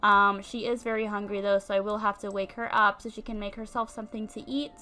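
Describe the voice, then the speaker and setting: A woman's voice: medium pitch, level tone, fairly quick, bright, forceful tone. Congested, chatty young woman narrating gameplay. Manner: chatty, many ums and likes. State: congested from a cold. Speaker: let's-play host. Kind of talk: casual game commentary.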